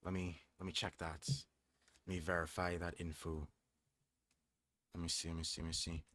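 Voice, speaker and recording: A man's voice: sits low, level tone, normal speed, pushed and bright, no echo. A young man hosting a livestream, reading from a book aloud and chatting in a thoughtful, hesitant way with frequent restarts. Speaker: livestream host.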